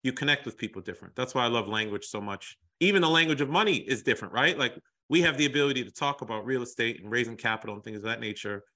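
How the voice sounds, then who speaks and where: A man's voice: mid-range voice, fast talker, few pauses. An American man talking energetically and emphatically in a podcast interview as the guest. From United States. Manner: energetic, emphatic, calls people brother.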